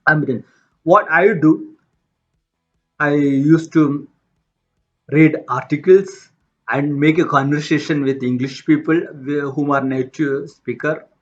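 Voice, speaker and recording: A man with a Tamil accent, a native Tamil speaker from India, talking about his own life in a halting way, with many restarts and repeated words. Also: a little high, steady tone, soft background music. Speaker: motivational vlogger. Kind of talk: unscripted personal talk. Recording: dull lo-fi sound.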